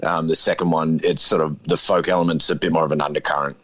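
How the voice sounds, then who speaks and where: A man in his thirties with a deep voice, a guest musician talking casually in an interview, laid-back and hedging with lots of fillers. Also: little pitch movement, fast, fairly bright tone, no echo. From Australia. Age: 30s.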